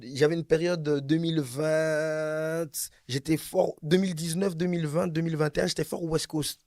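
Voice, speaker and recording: A young man tells a wild story in a casual chat. His voice sits a little high and he talks in an animated, slangy way, hammering his points home by repeating them. The sound is close and dry. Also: normal speed, no echo. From Belgium, Brussels.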